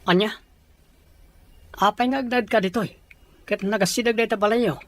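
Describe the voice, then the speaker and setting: A woman's voice: medium pitch, normal speed, dry recording, natural voice. A woman playing a troubled, resistant young village man in love in an audio drama. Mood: indignant. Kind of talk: Ilocano audio drama acting.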